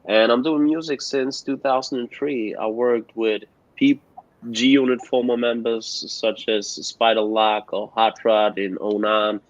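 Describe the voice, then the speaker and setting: A man from Germany, a guest musician answering interview questions haltingly with many fillers over a remote call. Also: medium pitch, steady tone, no echo. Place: at home in Germany, joining remotely. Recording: dull, dry remote call audio.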